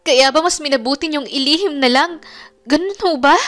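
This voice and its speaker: A high, emotional young woman's voice, playing a wounded daughter in an audio storybook, performed by the female narrator. Her manner is reproachful and distraught, with music underneath. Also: fairly quick, fairly bright tone. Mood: hurt, reproachful. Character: hurt, distraught young blind daughter demanding the truth.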